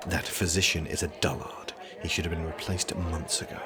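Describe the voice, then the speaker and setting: An actor playing an aloof, sardonic young prince and magician in an audio drama, speaking formally, dryly and guardedly. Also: male voice, low-pitched, flat delivery, brisk, a crowd in the background, close to an everyday voice. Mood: scornful, dry. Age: young adult. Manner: formal, dry, guarded speech.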